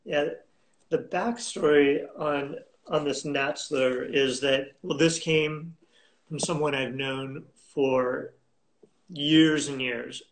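A man in his thirties talking as a guest in a video call interview, unhurried and halting with many fillers and restarts. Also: a little high, fairly even intonation, poor audio. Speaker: auction house specialist, guest. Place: home room indoors. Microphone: video call webcam.

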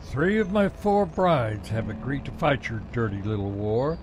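A man playing a cranky, cocky, reckless volunteer fighter in an audio drama, with gruff, folksy defiance. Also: mid-range voice, animated, measured pace, background noise, lightly acted. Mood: indignant.

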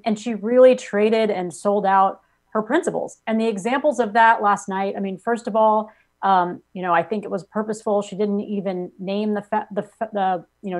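American woman, 40s, political guest on a video call, earnest, kinda halting, medium pitch. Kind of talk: live political interview commentary. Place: small home office on a video call. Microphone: video call at desk distance.

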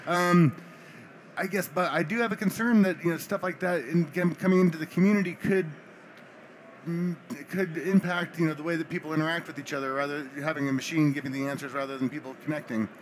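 Relaxed male host running a panel, fairly high voice, light background hiss. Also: a little fast, rough recording. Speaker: panel moderator. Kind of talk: hosting a panel chat.

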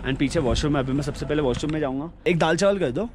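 A young man talking to a handheld camera outdoors and from a car, chatty and excited as he shows the road to viewers. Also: a little high, fast, slight background noise. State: short of breath at high altitude. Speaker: travel vlogger. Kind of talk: casual travel vlog, Hindi mixed with English. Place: outdoors on snowy mountain road and inside a car. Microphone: handheld camera at arm's length.

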